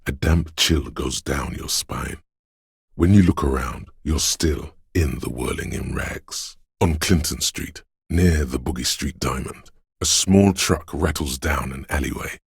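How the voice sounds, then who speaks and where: An actor playing a brooding, mystical inner voice that senses a city, narrating a game in solemn, measured, ominous poetic prose with a gravelly, husky voice. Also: male voice, rumbling low, no room sound, studio quality, heavily acted. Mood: melancholy, atmospheric. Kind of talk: scripted literary game narration.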